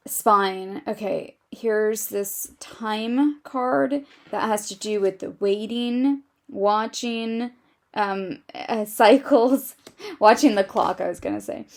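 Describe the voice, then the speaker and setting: A woman giving a tarot reading, musing slowly and thinking aloud with a soft, quiet voice into a close microphone. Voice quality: soft, low-volume voice. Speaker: tarot reader. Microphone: microphone right in front of her.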